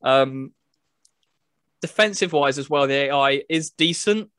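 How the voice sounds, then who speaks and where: A man's voice: on the high side, measured pace, a bit bright, clean tone, no room sound, studio quality. A man chatting casually as a podcast host, reviewing a video game.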